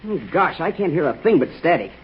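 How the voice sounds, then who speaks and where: A man's voice: on the high side, sing-song, fast talker, a little hiss or hum. An actor playing an earnest, cheerful American boy sidekick in 1940s radio acting style. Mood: eager. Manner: cheerful, eager, wholesome. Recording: muffled old radio recording.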